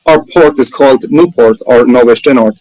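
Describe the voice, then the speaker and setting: A man's voice: mid-range voice, normal speed, a little mellow, no room sound, rough recording. Enthusiastic Irish man, dry sound. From Ireland. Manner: enthusiastic, chatty, self-correcting. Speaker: booking manager presenting his sailing resort. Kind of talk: casual presentation on a video call.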